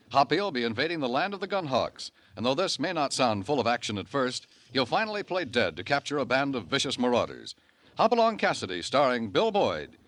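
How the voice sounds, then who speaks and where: A man's voice: medium pitch, fairly bright tone. A man announcing in the promotional style of 1950 network radio. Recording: vintage 1950 radio broadcast.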